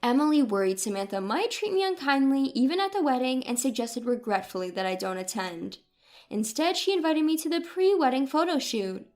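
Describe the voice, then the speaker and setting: High-pitched woman narrating a story. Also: very animated, a little fast, a little mellow. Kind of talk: scripted first-person story narration.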